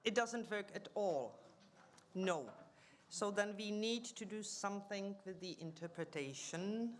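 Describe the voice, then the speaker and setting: A woman's voice: medium pitch, measured pace. Woman, meeting chair, formal welcome, hesitant fillers, table mic, faint background hiss. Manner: welcoming, reads names and titles, many fillers. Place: conference room.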